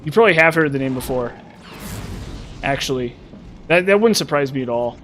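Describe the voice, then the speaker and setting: A man's voice: medium pitch, expressive, brisk, fairly bright tone, slight background noise. A man in his thirties casually chatting and rambling to his viewers while streaming a game. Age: 30s. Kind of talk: casual live-stream chat while playing.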